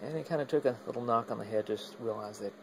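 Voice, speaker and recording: A man's voice: medium pitch, fast, vocal fry, noisy background. A man casually demonstrating his radio gear in an unscripted, rambling way, often hesitating and trailing off mid-thought. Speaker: amateur radio hobbyist demonstrating equipment.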